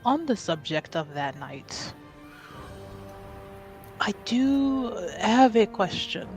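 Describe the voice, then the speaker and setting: A petulant young noblewoman, played by a woman in a tabletop fantasy game, defensive and flippant. Her pitch moves up and down freely at an easy pace, with quiet music behind. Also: sing-song, slow. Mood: cautious, probing.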